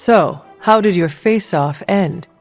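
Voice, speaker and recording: A woman voicing a stern but curious resurrected warrior in a video game, speaking in a formal, measured, dry way. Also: on the low side. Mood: curious, amused. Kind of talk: scripted video game dialogue. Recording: thin phone-like band, music behind.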